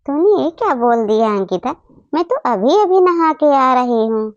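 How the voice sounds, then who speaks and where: A woman plays a cartoon aunt from the family next door, homely and quick to take offence. Her chatty voice is high and closely recorded without echo. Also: sing-song, dull audio. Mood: offended. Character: friendly neighbouring aunt, easily offended.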